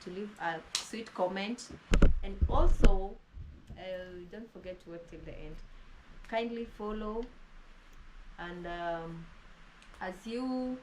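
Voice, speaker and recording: A woman's voice: medium pitch, fairly bright tone. A woman hosting a casual interview with a fellow YouTuber, rambling along with plenty of fillers and restarts, recorded inside a house. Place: inside a house during heavy rain. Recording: noisy with rain outside.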